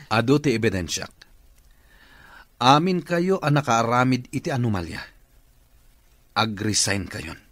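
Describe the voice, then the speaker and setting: A man's voice: mid-range voice, animated, measured pace, pauses often, a bit bright, no room sound. A man with an Ilocano accent playing a stern, accusing office boss in a radio comedy drama. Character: stern accusing male office boss confronting corrupt staff.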